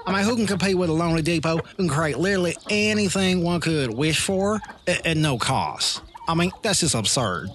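A man's voice: fairly high pitch, expressive, a little fast, background noise, lightly acted. An actor playing an aggrieved male international official ranting in a mock news soundbite. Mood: indignant. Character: indignant male international official denouncing Santa.

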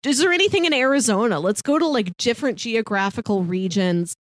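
A woman's voice: on the high side, animated, brisk, no room sound. A woman co-hosting a casual film discussion, thinking aloud in looping phrases. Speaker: podcast co-host discussing films.

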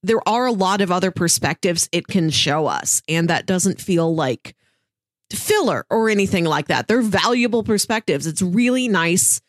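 A woman's voice: lowish voice, lively intonation, normal speed, dry recording, studio quality. A young woman co-hosting a podcast, chatting casually with plenty of "like" fillers. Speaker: podcast co-host. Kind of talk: casual chat.

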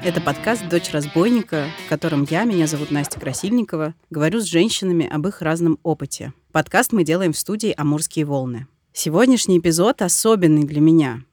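A woman's voice: sits low, lively intonation, fairly quick, few pauses, darkish tone, soft background music. A woman in her thirties hosting a podcast, with scripted narration and interview questions, recorded in a studio. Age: 30s.

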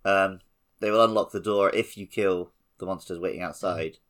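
A man's voice: low-pitched, steady tone, moderate pace, fairly bright tone. A man co-hosting a casual gaming podcast, explaining things in a conversational way. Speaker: podcast co-host.

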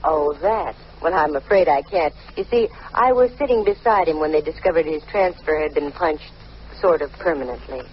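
A woman's voice: low-pitched, moderate pace, lightly acted. An actress playing a wry, flirtatious female private eye and former model in a 1950s radio detective drama, wisecracking and sardonic. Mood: wry, teasing. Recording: muffled, noisy old radio recording.

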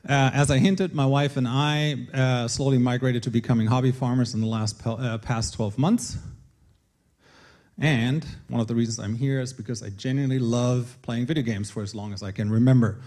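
A middle-aged man with a German accent speaks as a conference presenter. His voice sits at a medium pitch. He tells his personal story earnestly, with a light, self-deprecating humour. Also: steady tone, moderate pace, a little mellow. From northern Germany. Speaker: conference speaker, games creative director. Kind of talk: personal talk to an audience.

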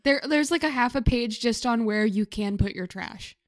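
A woman's voice: a little high, normal speed, no echo, clean studio sound. A woman co-hosting a podcast with casual, joking banter. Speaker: podcast co-host.

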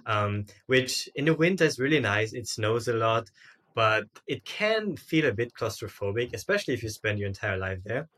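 A man's voice: medium pitch, animated, normal speed, sharp tone. A man, telling his story casually as a podcast guest, with informal, loosely strung phrasing. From Austria. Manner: informal, frequent "like" and "sorta".

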